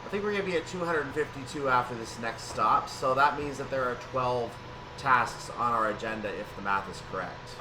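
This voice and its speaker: A man's voice: medium pitch, a little fast, recorded from a distance, noisy background. A man giving casual gameplay commentary on a train simulator, thinking aloud and correcting himself as he goes. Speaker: gaming video host.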